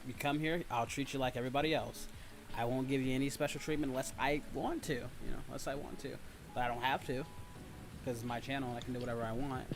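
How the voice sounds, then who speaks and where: A man's voice: medium pitch, fairly even intonation, quick pace, noisy background. A man hosting a livestream in casual banter, talking in a blunt, teasing, cocky manner. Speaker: livestream host and voice actor.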